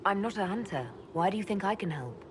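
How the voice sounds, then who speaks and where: An actress voicing an elven leader in a video game, speaking plainly and directly. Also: female voice, mid-range voice, expressive, quick pace, background noise, lightly acted. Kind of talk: video game cutscene dialogue.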